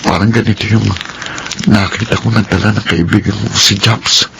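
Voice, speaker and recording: A timid, honest, poor young Filipino man in a radio drama. He speaks earnestly in a lowish voice, with clear background noise around him. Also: fairly even intonation, normal speed. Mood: puzzled, nervous. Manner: earnest, self-effacing.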